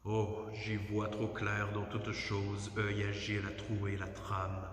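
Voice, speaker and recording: A man giving a solemn, measured, declamatory poetry recitation inside a church. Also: lowish voice, monotone. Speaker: poetry reader. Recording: very echoey church, long reverb.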